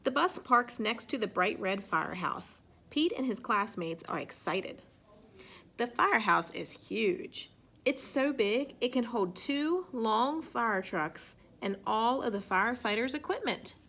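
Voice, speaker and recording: Lively, bright-voiced woman reading a storybook. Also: fairly high pitch, measured pace. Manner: expressive, acts out sound effects and exclamations. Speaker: storybook reader. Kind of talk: children's picture-book read-aloud.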